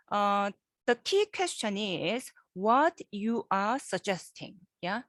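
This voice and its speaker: A woman professor giving a guest lecture over a video call, halting and repeating words, often checking in with her listeners. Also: medium pitch, no echo. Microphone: computer microphone on a video call.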